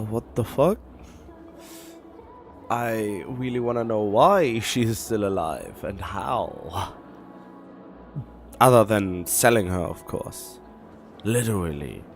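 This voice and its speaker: A man's voice: lowish voice, darkish tone. A man doing casual live commentary as a game streamer, blunt and musing aloud. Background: game music.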